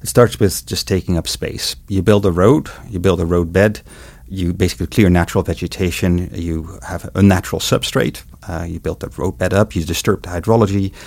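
Dutch-accented middle-aged man, deep with vocal fry, measured interview guest, dry close mic. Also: steady tone, a little mellow, dry recording. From Netherlands. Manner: measured, explanatory, with some hesitations. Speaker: guest road ecologist. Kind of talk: interview answers.

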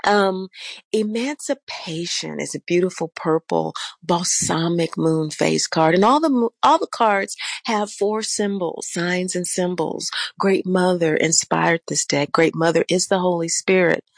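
A woman with a low voice, slightly raspy from a change in the weather, with a gravelly edge. She hosts an unscripted spiritual talk, meandering along with fillers and self-corrections. The sound is dry and close, with no room around it. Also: unhurried, no room sound. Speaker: oracle deck teacher and course host.

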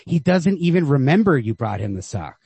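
A man's voice: on the high side, very animated, a little fast, creaky, mellow, slightly rough, no room sound. A man co-hosting a podcast, discussing literature casually in an informal, emphatic way with some swearing. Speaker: podcast co-host.

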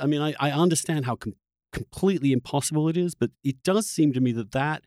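A man hosting a podcast, asking long, reflective questions in a halting, searching conversational way. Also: medium pitch, a little mellow, no echo, clean studio sound. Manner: halting, searching delivery with repeated words. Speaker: podcast host.

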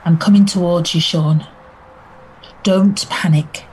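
An actress playing a calm, persuasive detective inspector in an audio drama. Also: female voice, fairly low pitch, takes it slowly, slight background noise, poor audio. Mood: calm, coaxing.

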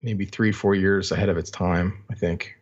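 A man's voice: deep voice, steady tone, moderate pace, dull audio. A man talking casually as a podcast co-host about records, feeling out his phrasing as he speaks. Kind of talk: casual album discussion.

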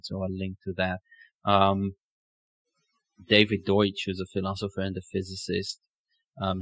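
Low-voiced man, even and reflective. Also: dry recording, rough recording. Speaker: podcast host. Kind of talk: reflective conversational interview.

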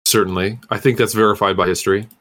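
A man's voice: fairly low pitch, fairly even intonation, quick pace, a bit bright. A man speaking as a podcast guest, chiming in briefly with agreement in a casual, mock-scholarly discussion.

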